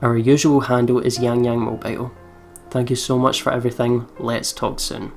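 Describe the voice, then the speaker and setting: Expressive young woman hosting a game playthrough. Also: rumbling low, normal speed, faint music behind. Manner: expressive, sweary, exclaiming. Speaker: let's play host. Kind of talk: game commentary, casual chat.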